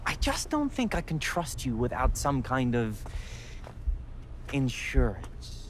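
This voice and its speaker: An actor playing a smug, menacing middle-aged tech villain in a video game, with a smooth, condescending manner and theatrical pauses. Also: male voice, mid-range voice, wildly swooping pitch, slight background noise. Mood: mock-sympathetic menace. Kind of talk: video game cutscene acting. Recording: music behind the voice. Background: cinematic music.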